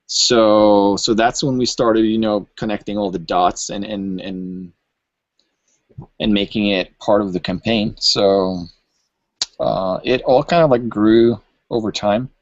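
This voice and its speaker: A man, a music producer, explaining things thoughtfully in an interview with many pauses and ums. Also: fairly low pitch, fairly even intonation, no echo, highs cut off. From Norway. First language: Norwegian. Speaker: electronic music producer, guest. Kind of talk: casual interview chat.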